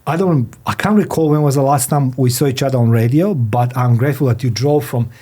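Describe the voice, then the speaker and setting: A man who is a non-native English speaker hosts a podcast interview, speaking haltingly and often repeating his phrases. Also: medium pitch, warm tone. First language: not English. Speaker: podcast host. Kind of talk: podcast interview, introducing and questioning a guest. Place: studio.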